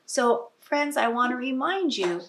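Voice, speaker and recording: A woman's voice: on the high side, animated, a little slow, a bit bright, a little hiss or hum. A woman reading a picture book aloud to young children, teacher-style, with explanatory asides and prompting questions. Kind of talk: expressive storybook read-aloud with asides to children.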